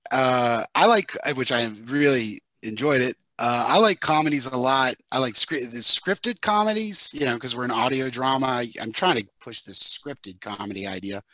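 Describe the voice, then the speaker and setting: A man in his fifties chatting informally on a panel through a headset, in a halting way. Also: medium pitch, lively intonation, pushed and bright, no echo. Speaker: audio drama creator, panel guest. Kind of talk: casual panel talk. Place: living room indoors, video call. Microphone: headset with boom mic.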